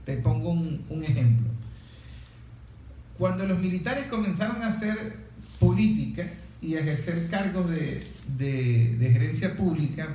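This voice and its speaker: Male interviewer, mellow tone, halting rhetorical delivery, distant echoey recording. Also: fairly high pitch. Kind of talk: political discussion, reflective and argumentative. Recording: very echoey room, distant mic, faint noise.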